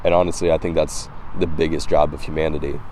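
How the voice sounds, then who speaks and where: A slightly hoarse man vlogging outdoors, talking in a casual, reflective, halting philosophical monologue with repeated words. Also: extremely low pitch, little pitch movement, a little mellow, slight background noise, low-quality recording. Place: outdoors by the water.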